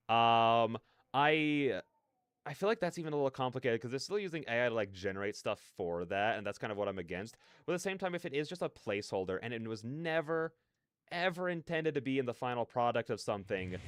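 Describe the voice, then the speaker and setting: A man with a clean, smooth voice at a medium pitch chats on his livestream. He rambles and cuts into his own sentences with slangy asides. The sound is dry and close to the mic. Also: no echo. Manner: rambling, animated slangy asides, self-interrupting. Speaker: livestreamer, content creator. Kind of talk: casual livestream rant and chat.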